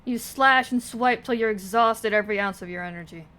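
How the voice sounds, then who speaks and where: A woman's voice: fairly high pitch, brisk, sharp tone. A woman doing casual livestream commentary on a game, with sarcastic asides and swearing, sometimes reading dialogue aloud. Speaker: game streamer. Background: video game music.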